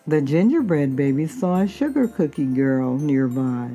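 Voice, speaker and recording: A woman's voice: deep voice, very animated, a little slow, warm tone, faint music behind. A woman reading a children's picture book aloud expressively, drawing out key words. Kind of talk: storybook read-aloud.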